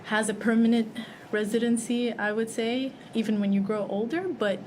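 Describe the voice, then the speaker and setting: A woman's voice: a little high, fairly even intonation, normal speed, darkish tone, slight background noise. A young woman from Egypt, a guest chatting casually in an interview, rambling with lots of fillers and small laughs.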